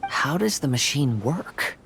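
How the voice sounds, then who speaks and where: A man voicing a calm, thoughtful young male traveller in a video game cutscene. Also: mid-range voice, a little slow, creaky, husky, full character voice. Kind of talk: scripted game cutscene acting.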